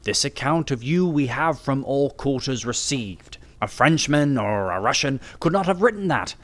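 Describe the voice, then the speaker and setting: Audiobook detective, male, acted out: brilliant and self-assured, mid-pitched with lively swings, precise and didactic. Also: moderate pace, natural voice. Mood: keen, triumphant. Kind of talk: audiobook character acting.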